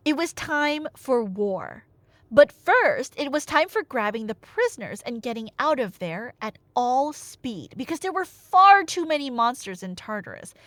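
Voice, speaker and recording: A woman's voice: a little high, a bit bright, no echo. A woman telling a myth in a casual, chatty but dramatic way, with wry asides, like an ASMR roleplay storyteller. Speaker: storyteller playing a chatty girlfriend.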